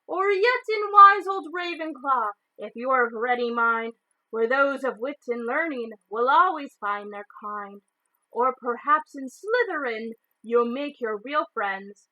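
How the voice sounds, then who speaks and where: A woman voicing a boastful, theatrical magical hat from a storybook, in slow declamatory sing-song verse with loud drawn-out calls. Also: high voice, exaggerated voice, poor audio.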